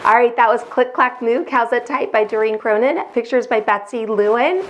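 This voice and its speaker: A woman hosting a children's storytime, reading expressively with deliberate emphasis, like a teacher. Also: a little high. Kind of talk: expressive read-aloud storytelling for young children.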